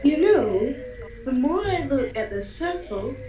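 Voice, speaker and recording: A woman's voice: high voice, sing-song, a little slow, dark tone, echoey room. A woman playing a genteel, curious time-travelling reporter in a radio comedy, describing things theatrically with understatement. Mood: polite, uneasy. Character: breezy, posh-mannered woman time-travelling reporter, blithely curious, then alarmed. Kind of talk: radio comedy scripted narration.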